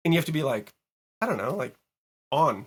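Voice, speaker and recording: A man who is a guest producer, chatting casually on a podcast, slightly hoarse, animated and swearing as he tells stories. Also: on the high side, brisk. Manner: animated, swearing, mock-quoting people.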